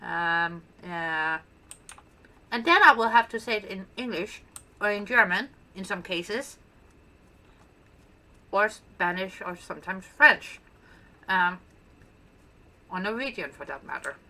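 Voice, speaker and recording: A woman's voice: mid-range voice, expressive, slow, long pauses, sharp tone. A woman with a Danish accent, a native Danish speaker, chatting casually and playfully as she shows off her build in gameplay commentary. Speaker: gaming channel host.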